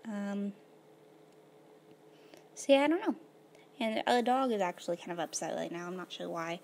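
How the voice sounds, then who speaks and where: A woman of middle pitch talks her way through a life-simulation game in an easygoing, rambling manner, full of "um" and "like". Her voice is a little hoarse, as if she is losing it. She sounds close to a desktop mic. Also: animated, normal speed, slight background noise. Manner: rambling, filler-heavy, laid-back. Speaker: gaming video host. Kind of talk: casual gameplay commentary.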